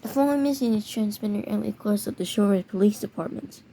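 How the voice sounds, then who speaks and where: A speaker narrating a homemade scenario video in a halting way, often repeating words. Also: mid-range voice, vocal fry, warm tone, poor audio. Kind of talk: amateur narration of a mock emergency alert scenario video. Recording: noticeable background noise.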